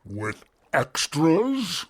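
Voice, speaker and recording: A sorrowful sea creature that sighs and sobs its way through every word, as a man puts it on. The voice drags out each phrase, very slow and a touch louder than plain narration. It has a rough, raspy edge, like a storybook figure steeped in self-pity. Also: fairly high pitch, huge pitch swings, no echo, voice pushed up, full character voice. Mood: mournful. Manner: slow, drawn-out, tearful delivery.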